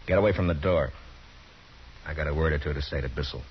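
An actor playing a calm, firm frontier marshal who also narrates, on an old 1950s radio drama. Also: male voice, very deep voice, quick pace, a little hiss or hum. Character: stern, steady frontier marshal. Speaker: narrator and lead. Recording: dull 1950s radio broadcast.

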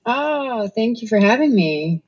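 A woman's voice: a little high, very animated, a little slow, darkish tone, husky. Chatty woman guest, muffled audio. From Norway. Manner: chatty, laughing often, many ums. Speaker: actress and guest. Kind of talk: casual interview chat. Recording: slightly muffled recording.

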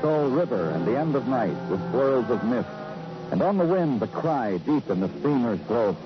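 An actor playing a weary, brooding American police detective with a deliberate delivery, in the style of 1950s radio noir. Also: male voice, medium pitch, very animated, lightly acted. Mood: somber, brooding. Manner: brooding, deliberate, clipped in dialogue. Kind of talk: 1950s radio noir acting, poetic narration. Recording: dull vintage radio recording, loud music behind.

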